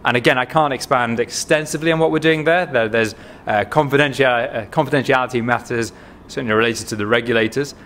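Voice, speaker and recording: A man with a Manchester accent, presenting his company to an investor audience at a conference. He talks in a chatty, informal way, often pausing on "um" and restarting phrases. Faint room noise sits under his voice. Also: mid-range voice, a bit bright. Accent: Manchester English. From England. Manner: chatty, with frequent ums and restarts.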